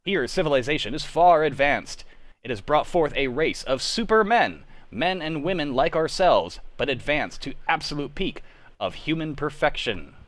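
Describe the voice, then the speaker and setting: A man's voice: on the high side, animated, normal speed, a bit bright, no room sound. A man playing a theatrical, emphatic announcer in the style of a 1940s radio serial. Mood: grand, storytelling. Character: dramatic old-time radio announcer, grand and excited. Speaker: announcer in an amateur live script reading.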